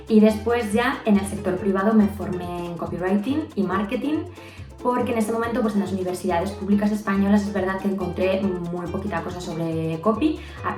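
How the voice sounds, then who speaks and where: A woman in her thirties from Spain with a Spanish accent, a copywriter speaking thoughtfully in a recorded testimonial, with an eh or a pues here and there. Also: lowish voice. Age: 30s. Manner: thoughtful, uses fillers like eh and pues. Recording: roomy sound from a distance, soft music.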